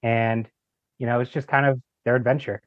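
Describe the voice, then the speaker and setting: A man's voice: medium pitch, little pitch movement, normal speed, no room sound. A man chatting casually as a podcast guest, informal and a little hesitant, with light amusement. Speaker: audio drama creator, podcast guest. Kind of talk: casual chat. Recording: slightly dull lo-fi microphone sound.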